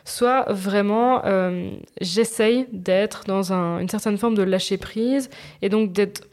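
A woman's voice: medium pitch, takes it slowly, a little mellow. Female podcast co-host, mid-range voice, open and informal, talking personally in slangy everyday speech. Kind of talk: casual, confessional podcast chat.

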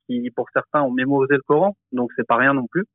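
A man who teaches the Quran, explaining slowly in a podcast discussion, hesitant, with many fillers and restarts. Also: medium pitch, no echo, phone-quality sound.

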